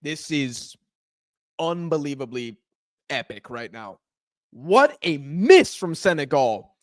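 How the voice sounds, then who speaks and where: Hoarse, excitable man calling a live match. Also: on the high side, slow, dry recording, clean studio sound. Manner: excitable, shouts at big chances. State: hoarse, losing voice. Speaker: watch-along host. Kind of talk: live match watch-along commentary.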